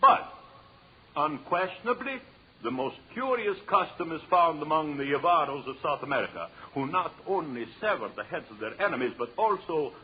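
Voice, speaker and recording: An actor playing a pedantic anthropologist giving a formal, declamatory lecture in an old radio drama. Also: male voice, a little high, animated, moderate pace, breathy edge. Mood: pedantic lecturing. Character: pedantic male anthropologist lecturing on savage tribes. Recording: muffled, noisy old radio broadcast.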